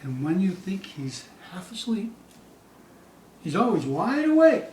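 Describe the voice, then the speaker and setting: A man giving a theatrical poetry recital, dramatic and suspenseful, with drawn-out phrases moving between hushed dips and loud peaks. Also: sits high, big pitch swings. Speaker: poetry reader. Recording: distant, echoey room with faint hiss.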